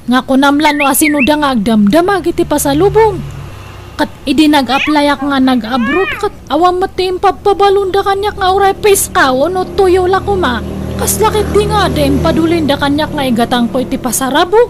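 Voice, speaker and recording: A woman acts out a nagging, teasing older sister from an Ilocano comedy radio drama. Her voice is very high and exclaims with animated insistence in an Ilocano accent. Clear noise sits on the recording. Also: fairly quick. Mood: pushy, teasing. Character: pushy, teasing older sister urging younger sister toward old suitor. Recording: noisy recording.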